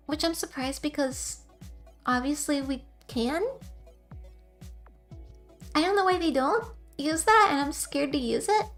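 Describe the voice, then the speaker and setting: Young woman, high and soft-voiced, rambling and giggly, casual stream chat over faint music. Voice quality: soft, quiet voice.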